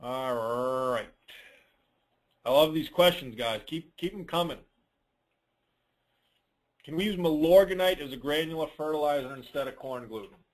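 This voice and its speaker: A man's voice: on the high side, unhurried, long pauses. A man coaching informally on a call, answering questions in a plain-spoken, advisory way with emphatic points. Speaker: lawn care business coach hosting a dealer call.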